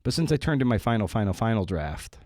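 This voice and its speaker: A man's voice: on the low side, very animated, fast, a little mellow, dry recording, studio quality. A man hosting a writing podcast, talking casually in a wry, self-deprecating way with rambling asides. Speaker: podcast host and writer.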